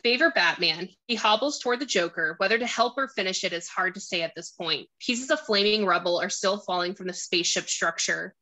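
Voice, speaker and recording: A woman's voice: medium pitch, fairly quick, hardly any pauses, sharp tone. A woman narrating a screenplay, reading out stage directions and scene descriptions.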